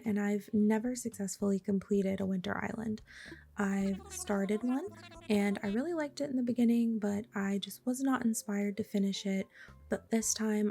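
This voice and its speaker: A young woman with a raspy, slightly hoarse voice from a cold, chatting casually and softly over her gameplay, sometimes dropping to a whisper. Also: medium pitch. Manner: soft-spoken, chatty, trails into whispers. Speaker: gaming vlogger.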